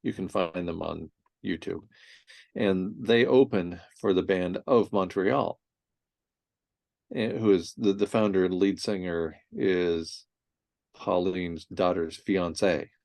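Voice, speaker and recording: A man in his sixties hosting a casual conversation, telling stories in an animated way and laughing often. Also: sits low, slow pace, vocal fry, no room sound. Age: 60s. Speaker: host.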